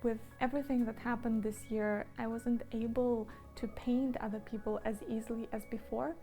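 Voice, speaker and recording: Mellow-voiced woman, thoughtful. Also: a little high, flat delivery, normal speed. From Moldova. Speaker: painter. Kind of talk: interview monologue. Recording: faint music behind.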